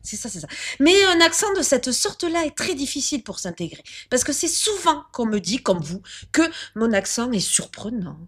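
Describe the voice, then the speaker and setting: A woman's voice: high voice, very animated, normal speed, few pauses, a bit bright, breathy edge, full character voice. An actress playing a stubborn, self-assured clown woman with a strong odd accent and rolled R, who keeps insisting and turning every argument around in a comic interview sketch. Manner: insistent, repeats herself, turns arguments around.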